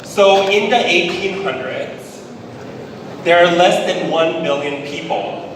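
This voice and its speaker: A man from Thailand with a Thai accent, giving a public presentation in English as a conference speaker, deliberate and emphatic with repetition. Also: sits high. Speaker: conference speaker, business executive. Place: large indoor event hall. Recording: very echoey hall, distant, noisy ambience.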